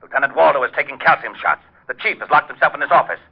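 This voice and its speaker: A gruff police lieutenant from an old radio drama. He grumbles and blusters in exasperation at a medium pitch, through a muffled vintage recording. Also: very fast. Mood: exasperated. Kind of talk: 1940s radio drama acting.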